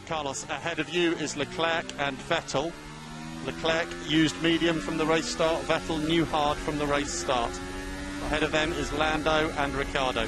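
A man working as a race engineer, giving terse, measured instructions to a driver over team radio and repeating key calls. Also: fairly high pitch.

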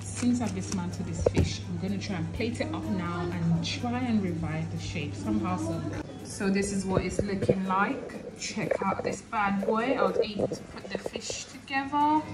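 A woman's voice: medium pitch, rough recording. A young woman chatting casually and expressively as she cooks in her home kitchen, filming on a handheld phone. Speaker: cooking vlogger. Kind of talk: casual cook-along vlog chat. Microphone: phone held in hand. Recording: music playing underneath.

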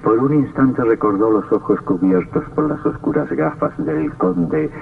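Male narrator for vintage radio horror: ominous, heavy creak, thin phone-like band. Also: medium pitch, expressive, unhurried. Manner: dramatic, ominous. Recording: tinny, distant old radio recording, faint music.

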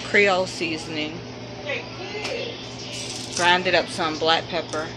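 A woman's voice: low voice, little pitch movement, slow, music in the background, low-quality recording. A woman chatting casually through a home cooking video, walking through each step with frequent ums. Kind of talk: casual cook-along narration. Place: home kitchen at the stove.

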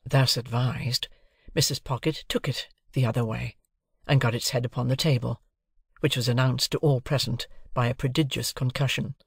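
A man narrates literary fiction for an audiobook. His mid-range voice has a noticeable gravelly creak. The recording is close and dry. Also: mid-range voice, fairly even intonation, normal speed, no echo.

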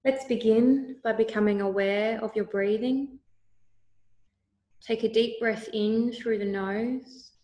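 Calm lady doing a guided meditation, super slow and soothing, echoey room sound. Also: a little high. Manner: calm, soothing, deliberate instruction. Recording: roomy, echoey voice recording.

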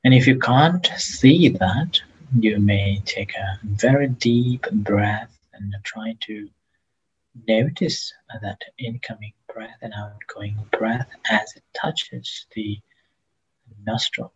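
Slow, husky man guiding meditation. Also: on the low side, dull audio. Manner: gentle, halting, with long pauses between phrases. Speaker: meditation teacher. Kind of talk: guided meditation instruction.

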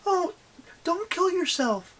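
A cheeky teenage heroine, acted by a storybook narrator, pitched high with lively swings. She chatters breezily and casually. Everything comes out with put-on innocence, as a bold bluff. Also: moderate pace. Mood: forced cheer.

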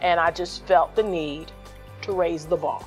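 Woman, earnest, reflective, lowish voice, faint music. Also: fairly even intonation, a little slow. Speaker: incoming law student. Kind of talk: interview.

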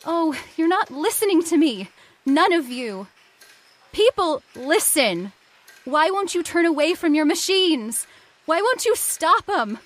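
A high, youthful female voice of an actress plays an impassioned heiress in an old-time radio horror drama. She speaks with theatrical urgency, pleading earnestly for her ideals. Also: very animated, a little slow, a bit bright, exaggerated voice. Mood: desperate. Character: impassioned idealistic young heiress of island factory.

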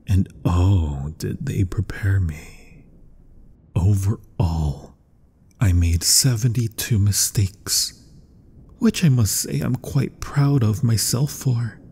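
A proud, macabre mad scientist , acted by a man, speaks in a dark, mellow voice that is heavily breathy and often sinks toward a whisper. He takes his time over each formal, theatrical phrase. It is a gothic horror ASMR roleplay, courteous on the surface and obsessive underneath. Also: on the low side, exaggerated voice. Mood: proud, simmering.

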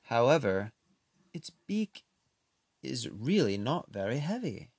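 A man reading a children's picture book aloud in an expressive, unhurried way, with casual, playful asides. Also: medium pitch, slightly rough, no echo.

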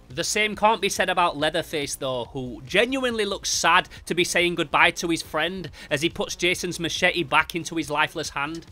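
A man's voice: on the high side, sharp tone. A young adult man narrating and reviewing a horror comic as a YouTuber, casual and enthusiastic in delivery. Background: background music.

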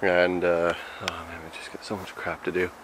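A man's voice: low-pitched, completely flat delivery, normal speed. A man in his twenties with a Canadian accent, chatting casually as a vlogger in a laid-back, slangy, rambling way into a camera mic. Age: 20s. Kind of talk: casual vlog chat. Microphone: camera's built-in mic, handheld or at arm's length. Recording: noisy handheld camera audio, vehicle and ambient noise.

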